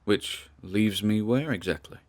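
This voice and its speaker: A man's voice: fairly low pitch, measured pace, lightly acted. A man playing a nervous, wry grandson who records audio logs, in a conversational, musing audio drama monologue. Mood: uneasy, musing.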